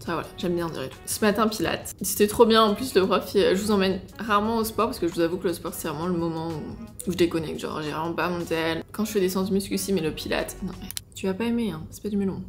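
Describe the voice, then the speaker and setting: A woman's voice: fairly low pitch, quick pace, faint music behind. A woman in her twenties, vlogging casually and chattily at home, with fillers, restarts and little laughs. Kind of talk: casual vlog chat. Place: home, then walking outdoors.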